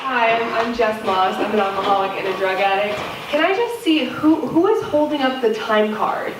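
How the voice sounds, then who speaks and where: A woman's voice: a little high, expressive, normal speed. A woman speaking as a panelist in a candid, informal, slangy and emphatic way. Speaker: panelist sharing personal recovery story. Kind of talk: casual, candid personal talk. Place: live panel event on a lit stage. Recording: echoey room, distant mic, clear noise.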